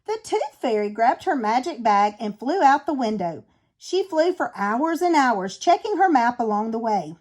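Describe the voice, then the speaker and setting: A woman reading a children's storybook aloud as an expressive narrator. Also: fairly high pitch, normal speed. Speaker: storybook reader.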